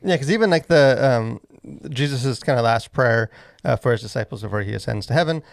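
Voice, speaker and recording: A man with a noticeably creaky, mid-pitched voice co-hosts a casual discussion. He rambles and halts, circling his point with plenty of "like" and "you know.". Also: a little fast. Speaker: podcast co-host. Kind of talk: casual discussion of faith and culture.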